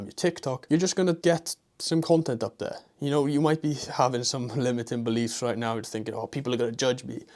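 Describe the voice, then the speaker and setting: A man's voice: medium pitch, darkish tone. Motivational young Welsh man. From Wales. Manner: pushy, motivational, addresses viewer as brother. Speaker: online business creator. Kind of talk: motivational advice monologue.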